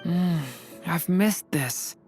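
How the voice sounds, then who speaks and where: A woman voicing a gentle, youthful dragonborn boy in a video game, soft-spoken, earnest and thoughtful. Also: lowish voice, drawn-out words, music in the background. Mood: wistful. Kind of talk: video game character dialogue.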